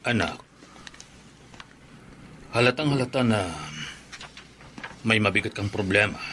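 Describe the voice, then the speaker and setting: A man playing a widowed middle-aged father in a radio drama, speaking softly and earnestly. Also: lowish voice, measured pace, noisy background, natural voice. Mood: gentle.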